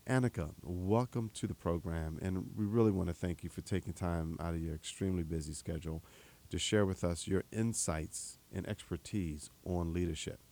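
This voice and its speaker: A man's voice: sits low, steady tone, mellow. A man hosting a radio interview program, often stumbling and repeating words as he asks questions. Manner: halting, repeats words when starting questions. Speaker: radio host. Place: radio studio. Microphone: studio microphone.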